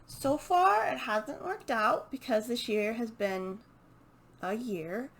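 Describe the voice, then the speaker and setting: A woman chatting casually and unscripted as a book vlogger, hesitant and halting as she thinks aloud. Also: on the high side, lively intonation, creaky, a bit bright, slight background noise. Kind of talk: casual unscripted chat to camera.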